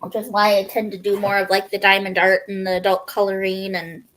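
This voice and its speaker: A woman's voice: mid-range voice, level tone, fairly quick, a bit bright, rough recording. A woman co-hosting a livestream in casual, chatty talk. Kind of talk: casual chat.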